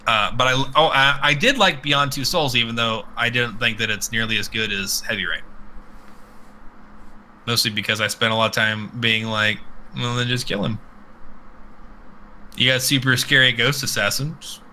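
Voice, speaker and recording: A man's voice: medium pitch, moderate pace, long pauses, pushed and bright, a little hiss or hum, rough recording. A man chatting casually and comedically about video games as a podcast co-host, rambling and crude, often acting out exaggerated reactions.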